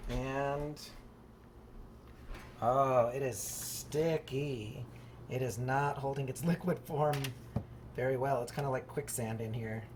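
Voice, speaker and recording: A home baker talks through a project in a casual, rambling way, unscripted and hedging along the way. The voice sits at a middling pitch, and clear background noise runs through the homemade recording. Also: steady tone, takes it slowly, long pauses. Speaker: home baker hosting a craft project video. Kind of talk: casual unscripted how-to narration.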